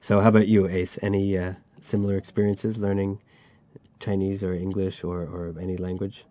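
A man chats casually as a podcast host, spinning anecdotes and laughing as he goes. His voice sits very low and sounds close and dry. The sound is a bit dull. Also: level tone, normal speed, no echo. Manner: chatty, storytelling, laughs while telling. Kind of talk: casual chat about learning Chinese.

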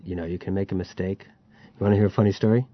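Chatty low-voiced man telling stories. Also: fairly even intonation, racing, lo-fi sound. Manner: chatty, storytelling, laughs while telling. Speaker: podcast host. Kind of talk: casual chat about learning Chinese.

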